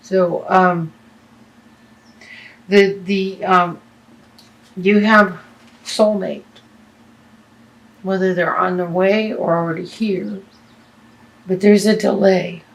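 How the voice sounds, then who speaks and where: A woman lays out a tarot reading at an unhurried, deliberate pace, her voice sitting slightly low. She is losing her voice, and she hesitates often, circling back with fillers and restarts as she works through each card. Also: fairly even intonation, vocal fry, rough recording. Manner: halting, many fillers and restarts. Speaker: tarot reader.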